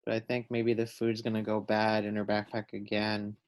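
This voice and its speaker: A man's voice: lowish voice, monotone, moderate pace. A man reading a children's storybook aloud, adding simple explanatory asides for young listeners. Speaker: storybook reader.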